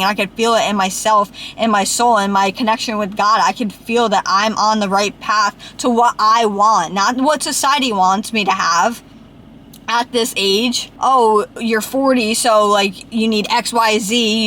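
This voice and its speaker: A woman's voice: a little high, a bit bright, slight background noise. A woman in her late twenties giving a casual vlog to camera, rambling and filling her speech with "like". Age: late 20s. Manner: chatty, fills with "like", speeds up when venting. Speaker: vlogger.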